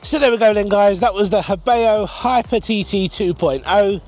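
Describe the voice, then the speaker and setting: High-voiced man reviewing, noisy recording. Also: normal speed. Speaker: RC car reviewer and video host. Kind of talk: enthusiastic casual review commentary to camera.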